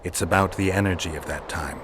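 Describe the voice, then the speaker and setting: An actor playing a stoic, world-weary monster hunter in a video game, gravelly and matter-of-fact. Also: male voice, sits low, a little fast, background noise, natural voice. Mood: calm, instructing. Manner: terse, flat, matter-of-fact.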